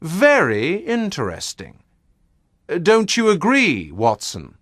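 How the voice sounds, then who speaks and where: A sharp-minded detective speaks slowly and deliberately, his pitch swooping widely as he weighs each word, as a man plays him in a storybook reading. The sound is close and dry. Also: wildly swooping pitch, no room sound, exaggerated voice. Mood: intrigued. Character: bored, curious male detective, dry and sharp.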